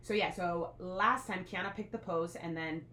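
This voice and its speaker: A woman's voice: on the low side, moderate pace, sharp tone, roomy sound, a little hiss or hum. A young American woman co-hosting a podcast, chatting casually in a talkative, teasing way. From United States. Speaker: podcast co-host. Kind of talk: casual chat.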